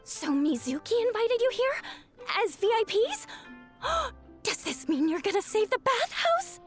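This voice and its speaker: A woman's voice: extremely high pitch, big pitch swings, measured pace, full character voice. A woman voicing a clumsy, panicky, eager young bathhouse spirit girl in an anime-style game, stammering and apologetic. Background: background music.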